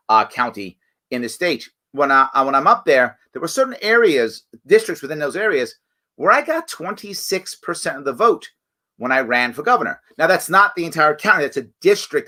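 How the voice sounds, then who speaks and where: A man hosting a live stream, talking casually and reading viewer comments, his voice raspy and a bit hoarse from weeks of campaigning. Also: fairly high pitch, brisk. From New York State. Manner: conversational, animated, frequent fillers and restarts. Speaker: show host.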